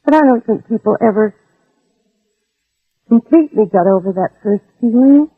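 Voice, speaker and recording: A woman's voice: a little high, expressive, moderate pace, dry recording, phone-quality sound, soft background music. An actress playing a brave, protective mother in a 1960s radio drama, pleading earnestly and persuasively with an armed man. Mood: tender, earnest. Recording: old band-limited radio recording, husky, dry.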